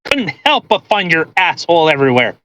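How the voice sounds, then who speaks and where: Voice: medium pitch, big pitch swings, fairly quick, a bit bright. Crude, mocking podcast co-host. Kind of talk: casual commentary while reading a post aloud.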